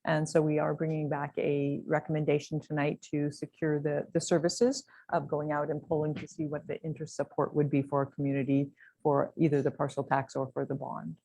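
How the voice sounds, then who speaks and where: Flat low-voiced woman briefing a board. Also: brisk, no echo, low-quality recording. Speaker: district staff presenter.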